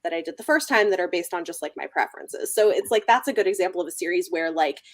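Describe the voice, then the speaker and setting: A woman with a slightly low voice talks casually as host of an online book chat. She hedges conversationally as she goes, often checking in with a "you know". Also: animated, fast talker. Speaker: host of a book discussion livestream. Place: online group video call.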